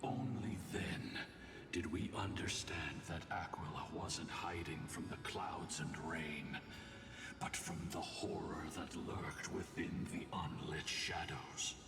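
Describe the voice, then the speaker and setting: Grave weary old-world warrior, really low and slow, fantasy game, echoey hall. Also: male voice, steady tone, whispered, heavily acted. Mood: grave, reflective. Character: solemn, ancient warrior man, weary and grave. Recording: distant, echoing hall with game music.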